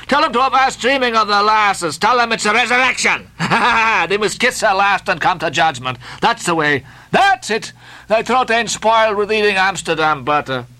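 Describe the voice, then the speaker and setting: A man's voice: high voice, pushed and bright, voice pushed up, heavily acted. A man voicing a jovial, teasing male sailor who mocks his sleeping shipmates. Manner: hearty and mocking, laughs.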